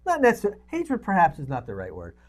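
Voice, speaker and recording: A man's voice: high voice, wildly swooping pitch, quick pace. A middle-aged man co-hosting a talk show with casual banter. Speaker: co-host.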